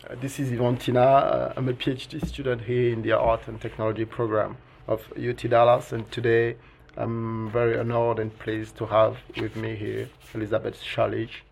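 Creaky, flat-voiced man interviewer. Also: medium pitch, normal speed. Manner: hesitant, lots of "uh" fillers. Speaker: podcast host, PhD student. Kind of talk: podcast interview.

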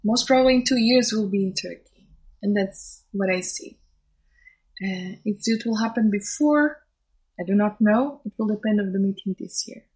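A woman's voice: mid-range voice, moderate pace, darkish tone, poor audio. A woman whose first language is Portuguese, a social scientist and event organizer, speaking thoughtfully in an interview and often restarting phrases as she searches for words. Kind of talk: interview conversation.

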